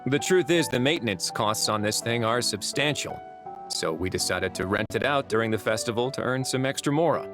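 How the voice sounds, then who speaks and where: An actor playing a calm, teasing prison warden with a dry manner. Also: male voice, medium pitch, a little fast, natural voice. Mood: wry. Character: calm prison warden man, wry and composed. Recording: game audio with music behind.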